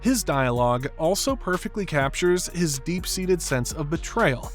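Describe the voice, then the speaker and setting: Male video essayist, somewhat high-pitched, reading scripted commentary over background music. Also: expressive, measured pace. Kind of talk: scripted narration, film analysis.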